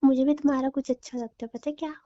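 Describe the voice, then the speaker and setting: High-voiced playful young woman on phone. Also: fairly even intonation, quick pace, mellow. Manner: playful, bantering. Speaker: girlfriend on a phone call. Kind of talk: casual phone chat. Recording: dull lo-fi call recording.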